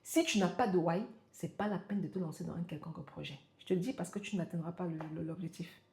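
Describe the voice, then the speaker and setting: A woman's voice: lowish voice, quick pace, a little mellow, reverberant. A woman in her forties giving self-help advice to camera, insistent, repeating her points and speaking straight to the listener. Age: 40s. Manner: insistent, repeating for emphasis, addresses viewer as tu. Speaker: motivational coach.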